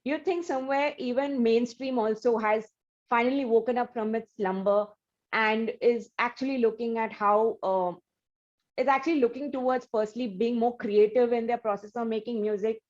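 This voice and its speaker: A woman's voice: a little high, moderate pace, a little mellow, highs cut off. A woman hosting an interview, asking long, rambling questions full of "uh" and "you know". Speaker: interview host. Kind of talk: casual interview talk.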